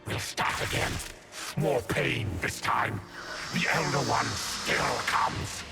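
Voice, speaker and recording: A man's voice: mid-range voice, slow pace, vocal fry. A man voicing a scheming, taunting envy demon in a video game, with a theatrical, threatening delivery. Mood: menacing. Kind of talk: dramatic video game villain acting. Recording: loud music over the voice.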